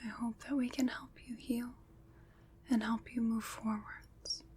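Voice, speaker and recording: A woman playing a gentle, caring witch in an ASMR roleplay, speaking in a breathy whisper with soothing, reassuring pauses. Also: on the high side, flat delivery, slight background noise, close to an everyday voice. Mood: soothing, concerned. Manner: slow, soothing, reassuring, pausing between short phrases. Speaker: ASMR performer.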